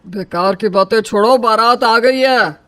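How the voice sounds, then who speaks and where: A man plays a middle-aged father in an animated wedding story. His voice is high-pitched and close and dry, with a matter-of-fact urgency. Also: unhurried, no room sound. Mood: brisk concern. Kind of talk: Hindi animated comic story dialogue.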